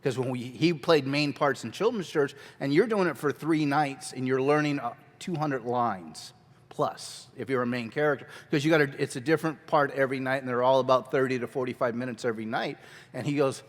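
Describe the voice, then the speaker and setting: A man teaching a children's ministry workshop at a conference, talking energetically and conversationally on a handheld mic. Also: mid-range voice. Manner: energetic, conversational, quick asides. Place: large church hall. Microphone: handheld microphone. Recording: long hall reverb.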